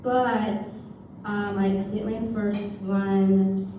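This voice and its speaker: A woman self-advocate giving slow, halting, simple short answers in an interview. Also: mid-range voice, flat delivery. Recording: echoey room, distant mic, noisy.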